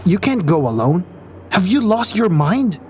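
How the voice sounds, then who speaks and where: A man's voice: sits high, big pitch swings, measured pace, darkish tone, soft background music. A man playing a wry, pragmatic space crewman in an audio drama, dry and a little exasperated. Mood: tense, pleading.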